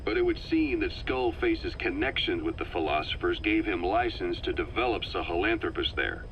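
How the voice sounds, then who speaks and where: Low-voiced military briefer, muffled. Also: male voice, animated. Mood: measured. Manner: measured, explanatory. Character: serious military intelligence officer briefing an agent. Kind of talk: video game voice acting, scripted intel briefing. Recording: dull lo-fi tape, faint noise.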